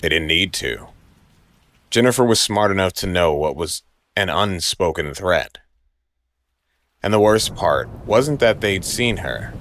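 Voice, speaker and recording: Deep brooding male noir narrator. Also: lively intonation, measured pace, pauses often, fairly bright tone, no room sound. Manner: brooding, dramatic, clipped phrases. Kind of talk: noir audio drama narration.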